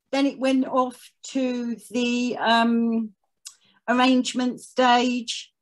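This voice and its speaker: A woman's voice: on the high side, low-quality recording. A woman speaking conversationally as an interview guest, telling personal stories. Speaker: podcast guest, charity chief executive.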